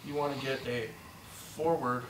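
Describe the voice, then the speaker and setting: A man teaching a movement drill step by step, encouraging and repeating himself, slightly hoarse and short of breath. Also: mid-range voice, steady tone, unhurried, echoey room, recorded from a distance, a little hiss or hum, low-quality recording. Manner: encouraging, repeats "just like that" and "good". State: losing his voice, a bit out of breath. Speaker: instructor. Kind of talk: step-by-step demonstration lesson.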